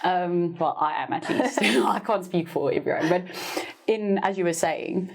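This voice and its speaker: This is a South African woman, Afrikaans by first language, with a low-pitched voice. She explains business figures in a relaxed, teacherly podcast style. She stays upbeat and fixes her own slips on the fly. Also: measured pace, gravelly. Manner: teacherly and upbeat, laughs at herself, corrects herself mid-sentence. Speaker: finance expert guest, former university lecturer.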